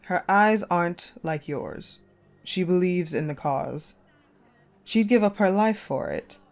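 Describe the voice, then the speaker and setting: Woman acting a low-voiced, icy, possessive general. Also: expressive, gravelly, faint music behind, heavily acted. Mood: cold anger. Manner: commanding, menacing, slow and deliberate, dropping to hushed. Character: cold possessive ice-queen general, jealous dominant captor. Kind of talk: dark romance audio roleplay acting.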